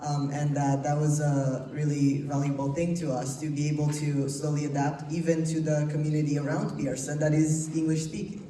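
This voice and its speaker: A student speaking in a measured way. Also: male voice, a little high, little pitch movement, warm tone. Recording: distant, echoey room.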